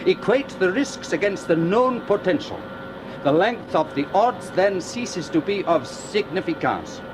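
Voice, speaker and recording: A seasoned oil prospector who trusts his nose and his readings. He talks slowly in a high, lively voice. The old radio recording carries a steady hiss. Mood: knowing. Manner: slow, deliberate, self-assured. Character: confident veteran oil prospector, calculating gambler. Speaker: oil exploration expert. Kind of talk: 1960s-70s radio drama acting.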